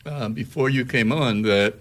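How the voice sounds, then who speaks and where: A man hosting a casual radio interview, speaking haltingly and often repeating words mid-sentence. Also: mid-range voice, a bit bright.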